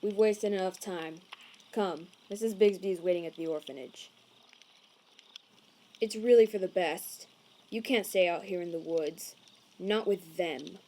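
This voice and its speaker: A woman voicing a haughty, prejudiced male envoy in an animated comic dub, stiff, officious and condescending. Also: lowish voice, unhurried, long pauses. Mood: stern.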